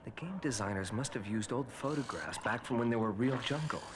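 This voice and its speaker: A man voicing an earnest, idealistic eighteen-year-old student hero in an animated series. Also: mid-range voice, fairly quick, noisy background, natural voice. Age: young adult. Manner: earnest, serious. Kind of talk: animated series dialogue and narration. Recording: music behind the voice.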